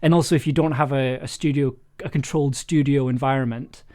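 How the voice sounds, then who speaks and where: A man hosts a casual livestream in a mid-pitched voice. His manner is jokey and self-deprecating, and he laughs easily as he talks. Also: steady tone, brisk, warm tone. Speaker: audio drama maker hosting a live stream.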